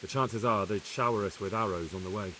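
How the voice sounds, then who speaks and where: An actor playing a deferential, earnest young soldier in a video game, reporting carefully and plainly. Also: male voice, lowish voice, brisk, sharp tone, slight background noise, rough recording. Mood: careful, matter-of-fact. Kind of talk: video game cutscene acting.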